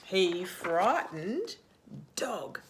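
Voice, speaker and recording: A woman reading a picture book aloud to young children as a storytime host, expressive, with hushed asides and questions to the listener. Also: on the low side, slight background noise. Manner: animated, expressive, asks questions and pauses for answers.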